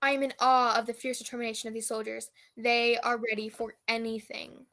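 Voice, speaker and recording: Female war correspondent played by an actress: bright, ringing, high voice, pompous and dramatic old-radio reporting. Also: little pitch movement, fairly quick. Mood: solemn, grandiose. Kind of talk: old-time radio news report parody.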